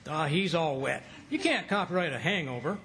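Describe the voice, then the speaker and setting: A man playing a stubborn, excitable, wisecracking husband in an old-time radio comedy. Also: a little high, fairly quick, slight background noise, heavily acted. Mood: blustering, argumentative.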